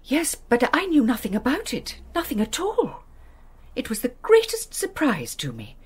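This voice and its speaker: A woman's voice: sits high, huge pitch swings, normal speed, breathy edge, exaggerated voice. An actress playing a fussy, gossipy middle-aged English lady's companion in a British radio play, with a fluttery, prim manner. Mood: flustered.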